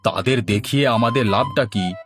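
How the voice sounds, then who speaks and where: A man's voice: mid-range voice, moderate pace, music in the background, lightly acted. A man voicing a henpecked village husband in a cartoon, placating and grumbling with dry jibes. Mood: exasperated, resigned. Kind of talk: Bangla cartoon voice acting.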